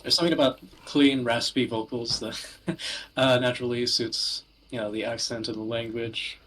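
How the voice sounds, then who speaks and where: A man's voice: mid-range voice, steady tone, moderate pace, a bit bright, low-quality recording. A man chatting casually as a podcast co-host, with dry, joking remarks. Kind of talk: casual banter over a game.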